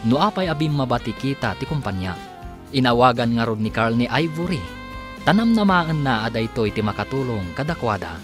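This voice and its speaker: A man announces a radio serial over clearly heard music. His mid-pitched voice delivers scripted narration in a theatrical broadcast manner.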